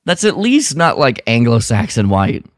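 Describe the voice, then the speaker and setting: A man chats casually as a podcast co-host. His voice moves in lively swoops around a middling pitch, with a playful, exaggerated way of arguing. The sound is dry and close. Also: normal speed, dry recording. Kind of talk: casual chat about a radio drama.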